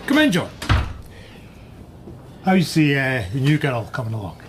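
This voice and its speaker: Radio drama: senior police superintendent, managerial, very varied pitch, slight background noise. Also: male voice, sing-song, a little slow. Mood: affable, businesslike. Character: senior male police chief superintendent, the boss.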